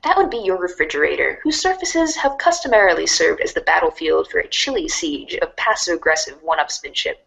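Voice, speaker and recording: A woman voicing a wry, mock-grandiose storybook narrator in a dramatic read-along style, deadpan and sardonic. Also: fairly low pitch, fairly quick, creaky, hardly any pauses, poor audio. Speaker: narrator of a fan readers' theater show.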